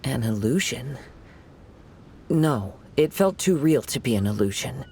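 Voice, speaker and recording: A man playing a calm, thoughtful young swordsman with a soft-spoken, measured manner in an English game dub. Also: mid-range voice, vocal fry, a little hiss or hum. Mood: pensive.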